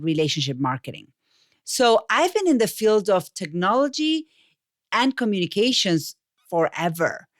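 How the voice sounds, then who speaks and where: A woman's voice: medium pitch, no echo, studio quality. A woman in her forties with an Argentine Spanish accent, telling her story conversationally as an interview guest. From Buenos Aires, Argentina. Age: 40s. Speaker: podcast guest, communications coach.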